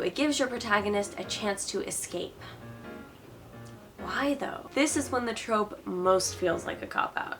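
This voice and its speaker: A woman's voice: high voice, fairly bright tone. A woman hosting a writing advice video, giving opinionated commentary in an informal, sarcastic way. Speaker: host of a writing advice channel.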